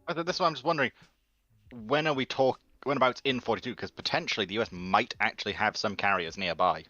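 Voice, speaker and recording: A man's voice: medium pitch, quick pace, bright, forceful tone, no room sound, faint music behind. A man in a casual panel discussion, questioning and raising objections, recorded over dull voice-chat audio.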